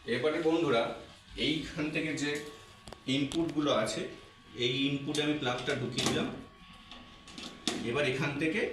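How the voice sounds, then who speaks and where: A man's voice: on the high side, background noise. Very echoey, distant man teaching step by step. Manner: repetitive, step-by-step explaining. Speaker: physiotherapy instructor presenting a tutorial. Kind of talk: informal demonstration lesson to camera. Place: echoey indoor room. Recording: very echoey, distant microphone, music behind. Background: music.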